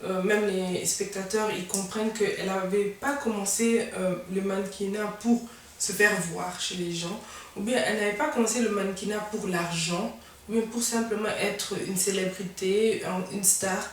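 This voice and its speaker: A woman's voice: medium pitch, steady tone, normal speed, slight background noise. A woman interviewing a guest, supportive, with frequent short affirmations and follow-up questions. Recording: echoey room, mic at a distance.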